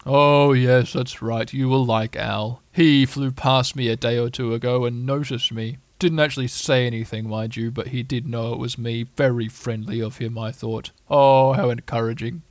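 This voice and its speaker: A mournful storybook donkey, acted out by a man. He speaks slowly and with resignation, as if expecting to be forgotten, in a close, dry recording. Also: medium pitch, fairly even intonation, no room sound, natural voice. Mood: gloomy, resigned. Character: gloomy, self-pitying male donkey, slow and mournful.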